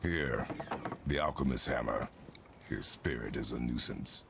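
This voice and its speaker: Game role: gruff warrior father, extremely low gravelly voice, stoic and curt. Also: unhurried, long reverb tail, full character voice. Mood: grim, flat. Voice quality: deep gravelly rumble. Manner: terse, commanding, stoic. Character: stern, gruff, terse warrior father of a young boy. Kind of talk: cinematic video game dialogue.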